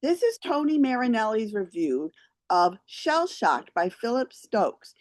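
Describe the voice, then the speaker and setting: A woman's voice: fairly high pitch, big pitch swings, a little slow, darkish tone, no echo. A woman hosting a review show, reading out write-ups and giving her views on theater.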